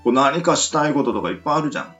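A man with a western Japanese accent hosting a casual radio chat, joking and often chiming in with quick responses. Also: medium pitch, animated, a bit bright, faint music behind. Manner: joking, frequent backchannel responses. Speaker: radio show host. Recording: roomy, slightly muffled recording.

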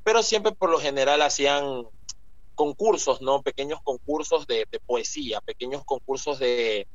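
Young male guest, mid pitch, rambling and filler-heavy, lo-fi recording. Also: normal speed, dry recording. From Ecuador. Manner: rambling, with many fillers and restarts. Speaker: guest author. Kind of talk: casual interview talk. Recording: muffled lo-fi sound.

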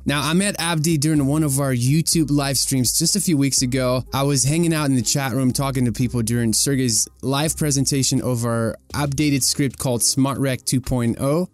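A man's voice: medium pitch, darkish tone. A man in his thirties, a native Russian speaker, hosting a podcast in a casual, chatty style. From United States, arrived at thirteen as a refugee. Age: 30s. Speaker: podcast host. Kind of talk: podcast interview and casual chat.

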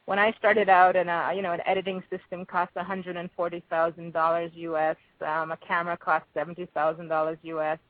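A woman's voice: on the low side, little pitch movement, brisk, few pauses, dry recording, phone-quality sound. A woman with a Jamaican accent giving thoughtful, conversational answers as an interview guest.

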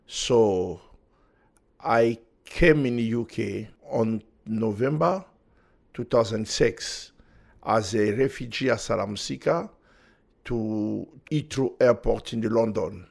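A man, speaking as a podcast guest in halting, earnest English. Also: fairly low pitch, vocal fry, darkish tone. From Democratic Republic of Congo. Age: 40s. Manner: halting non-native English in short earnest phrases. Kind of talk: conversational interview, personal testimony.

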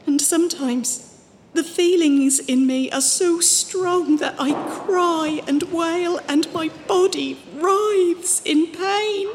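Woman playing a high-voiced, fervent religious visionary. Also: slow, exaggerated voice. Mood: fervent, troubled. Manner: earnest, emotional, pious. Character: fervent, fearful wandering female religious visionary. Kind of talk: audio drama acting. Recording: reverberant hall, faint background noise.

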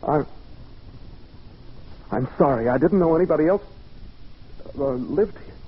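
Nervous poet, slightly high voice. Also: male voice, animated, unhurried, a little hiss or hum. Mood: timid, pleading. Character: nervous, sensitive male poet recounting an eerie encounter. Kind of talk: old-time radio drama, first-person narration. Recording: dull old radio recording.

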